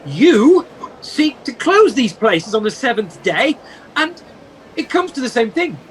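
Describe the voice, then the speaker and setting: A woman's voice: a little high, very animated, unhurried, poor audio. A woman playing a troubled old male miser in an amateur radio play, earnest and full of challenging questions. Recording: faint noise on home call.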